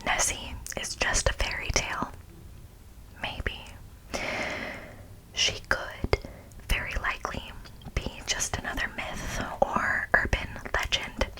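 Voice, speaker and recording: A woman whispering as an ASMR host, softly reading from a script with casual asides. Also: low-quality recording. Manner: soft, unvoiced whispering.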